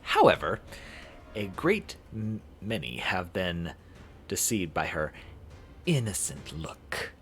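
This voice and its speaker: A man streaming a visual novel playthrough, reading it aloud in a halting, dramatic way and correcting himself. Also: low-pitched, big pitch swings, soft background music.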